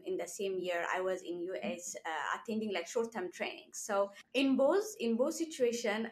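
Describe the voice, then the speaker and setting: A woman from Ethiopia, a doctoral student guest, speaking earnestly and emphatically. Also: medium pitch. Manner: earnest, emphatic, with small laughs. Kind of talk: conversational interview.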